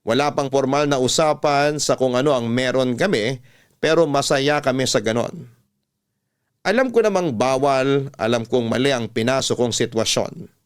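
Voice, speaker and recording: A man narrating a sentimental confessional story in a measured, scripted radio drama style. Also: medium pitch, clean tone. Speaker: storyteller host reading a listener's letter.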